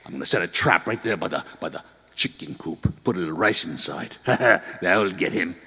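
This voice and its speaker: A man voicing a gruff old grandfather, gloating and chuckling threats at a pest in a folk tale. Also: low voice, lively intonation, moderate pace, bright, forceful tone, pitched below the performer's own voice, full character voice. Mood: scheming. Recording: long hall reverb.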